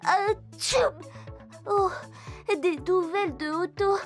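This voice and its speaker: A woman voicing a cheerful, curious young animal in a children's cartoon, talking in a bright, childlike way straight to young viewers. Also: extremely high pitch, slow. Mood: cheerful, eager. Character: Jasmin, cheerful curious young animal who travels to friends. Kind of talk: children's cartoon dialogue in French. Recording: music behind the voice.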